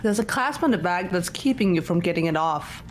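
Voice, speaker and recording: A woman's voice: fairly low pitch, animated, a little fast, close to an everyday voice. A woman voicing a reserved, analytical teenage girl in a fan-voiced visual novel, speaking tersely and matter-of-factly. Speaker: voice actor in fan game playthrough. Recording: music under the voice.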